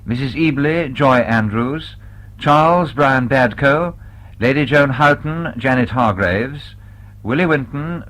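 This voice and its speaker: A man's voice: mid-range voice, fairly bright tone, rough recording. A man with a Received Pronunciation accent reading a formal scripted announcement as a radio continuity announcer. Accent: British Received Pronunciation.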